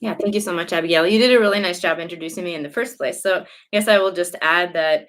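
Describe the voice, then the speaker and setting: A woman's voice: fairly low pitch, fast talker, fairly bright tone. A woman from Norway, a company executive, answering interview questions in a friendly, articulate way with a few fillers. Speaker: guest executive.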